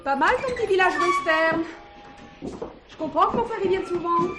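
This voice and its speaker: An actress playing a breezy, playful, informal wife in a French radio drama. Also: female voice, very high voice, moderate pace, loud music behind the voice. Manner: light, informal.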